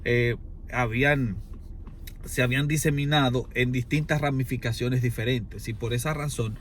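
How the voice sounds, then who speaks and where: A man's voice: mid-range voice, steady tone, moderate pace, a bit bright. A Dominican man with a mid-range voice lays out an argument in conversation. His wording is formal and erudite, his delivery oratorical, leaning on emphatic repetition. There is noticeable noise in the recording. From Dominican Republic. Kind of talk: extended argumentative explanation in conversation.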